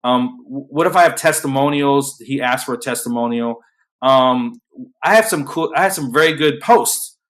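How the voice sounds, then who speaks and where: Man with a plain, even speaking voice. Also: medium pitch, moderate pace. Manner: self-described monotone, filler-heavy, restarts. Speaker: host. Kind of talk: casual panel discussion. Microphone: video call.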